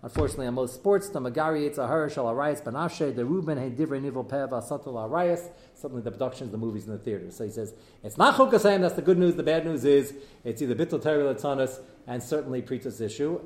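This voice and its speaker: A man teaching a Torah class in a lively way, reading out Hebrew texts and explaining them to students. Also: mid-range voice, quick pace, echoey room. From United States.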